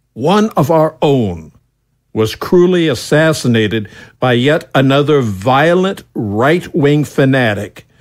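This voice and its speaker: An actor playing an earnest congressman giving a formal, measured speech in an audio drama. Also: medium pitch. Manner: measured, oratorical. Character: solemn, earnest congressman addressing his colleagues.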